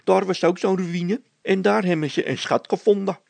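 Man putting on a high-pitched, excitable gravelly workman. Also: normal speed, no room sound. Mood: excited, bossy. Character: excitable, doubtful workman, slow to catch on.

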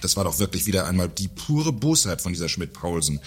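A deep-voiced, pompous director who must control everything, acted by a man in a satirical radio play. His voice is rough and husky, and he sounds self-important and irritable. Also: sing-song, brisk, heavily acted. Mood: indignant. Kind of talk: German radio play acting.

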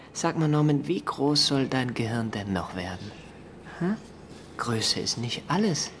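A man's voice: fairly high pitch, fairly bright tone, a little hiss or hum. A male actor playing a cocky, jealous young student bully in a radio drama, with a mocking, taunting manner.

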